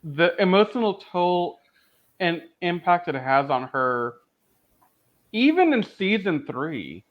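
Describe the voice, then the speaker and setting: A man's voice: sits high, very animated, slow pace. A man co-hosting a podcast in casual, opinionated chat, emphatic and slangy, on a dull lo-fi voice track. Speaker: podcast co-host.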